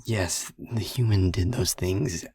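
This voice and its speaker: A gruff, protective man played by a male actor in an audio drama. His voice is deep and very husky, full of breath, and he takes his time over each phrase in a close, dry sound. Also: mellow, no room sound, exaggerated voice. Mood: grave, measured. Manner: slow, deliberate, halting phrasing. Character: gruff, protective man calming a frightened friend. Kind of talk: audio roleplay acting.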